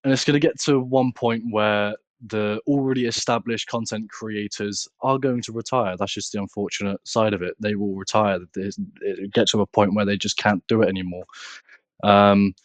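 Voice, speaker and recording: A nineteen-year-old man with a British accent, speaking casually and haltingly as an interview guest. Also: on the low side, steady tone, no room sound. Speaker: podcast guest, content creator.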